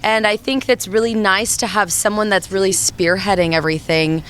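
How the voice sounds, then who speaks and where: A young woman with a raspy voice, a rhythm guitarist chatting casually in an interview. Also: medium pitch, steady tone, moderate pace, a bit bright, slight background noise. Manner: casual, laughing easily, chatty.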